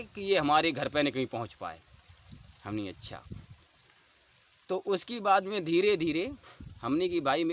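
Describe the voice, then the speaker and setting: A man's voice: a little high, a bit bright, a little hiss or hum. A man with a rural western Uttar Pradesh Hindi accent giving a personal account in an earnest, insistent, colloquial way. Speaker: dholak player. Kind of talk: personal account told to camera.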